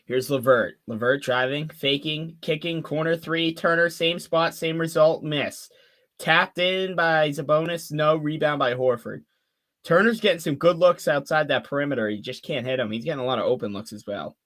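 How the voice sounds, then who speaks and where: Bright-voiced young man calling a game. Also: fairly high pitch. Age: 20s. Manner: rapid call of the action mixed with chatty asides to viewers. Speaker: play-by-play streamer. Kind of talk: fan live-stream play-by-play commentary.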